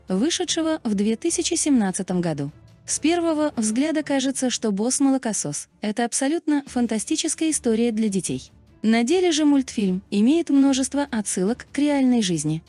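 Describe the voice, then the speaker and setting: Lively, high-pitched woman narrator. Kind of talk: scripted video narration.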